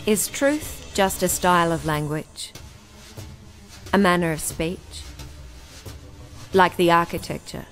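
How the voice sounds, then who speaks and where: An actress playing a solemn poetic narrator in a radio play, with measured, spare phrasing and music behind her. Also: on the low side. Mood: solemn, reflective. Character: solemn female poetic narrator of desolate roads.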